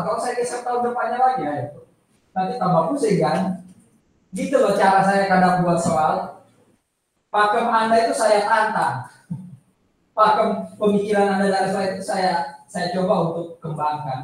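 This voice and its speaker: In a strongly reverberant room, picked up from a distance, an Indonesian man lectures in a high-pitched voice. He explains informally, circling back over points and putting questions to his listeners. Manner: conversational, repeats points and poses questions to listeners. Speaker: accounting lecturer. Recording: echoey room, distant microphone.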